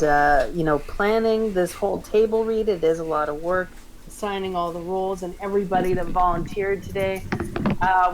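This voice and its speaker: A woman's voice: fairly low pitch, a little hiss or hum, low-quality recording. A woman speaking casually as the host of a group event, wrapping up and thanking people. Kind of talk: casual event wrap-up talk.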